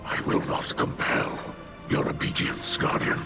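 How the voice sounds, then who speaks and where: A man's voice: a little slow, over music, natural voice. An actor playing an aged alien king in a video game, formal and solemn, pleading for help. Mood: grave. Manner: solemn, formal, speaks as if giving a royal oath. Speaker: king of his house. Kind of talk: video game cutscene voice acting. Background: loud orchestral game score.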